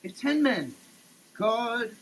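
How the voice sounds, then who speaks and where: Slow, halting theatrical reader over clear noise. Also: medium pitch, big pitch swings. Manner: halting, declamatory delivery with repeated words. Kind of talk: dramatic, theatrical reading of a product label. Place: in a running shower. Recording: noisy wet shower recording.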